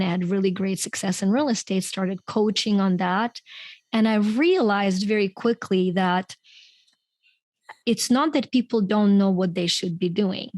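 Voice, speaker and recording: A woman's voice: medium pitch, dry recording. A middle-aged woman from Ukraine, a podcast guest telling her story in a chatty way, often laughing mid-sentence. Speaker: podcast guest, author and coach. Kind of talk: conversational interview storytelling.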